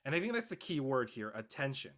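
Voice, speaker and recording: A man's voice: medium pitch, big pitch swings, fast, fairly bright tone. A man speaking casually as a panelist in a post-show discussion. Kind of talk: casual chat.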